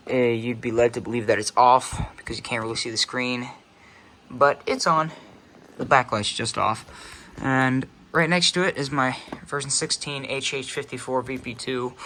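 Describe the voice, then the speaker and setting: Male hobbyist video creator, bright edgy tone, mid pitch, casual and halting, unscripted show-and-tell delivery. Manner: halting, offhand, self-interrupting.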